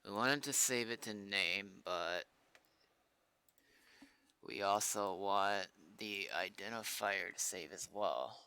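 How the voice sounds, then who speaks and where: A man's voice: on the low side, slow, pushed and bright, smooth. A tired man talking casually to himself and swearing as he works on a live stream. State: tired, sighing. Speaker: streamer developing a game roleplay server. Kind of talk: casual unscripted stream talk while working.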